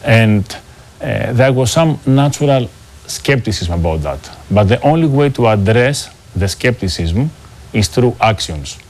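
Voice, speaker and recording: A middle-aged man with a Greek accent gives measured, formal answers as a business executive being interviewed. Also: mid-range voice, animated, a little mellow. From Greece. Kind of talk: prepared corporate interview answers.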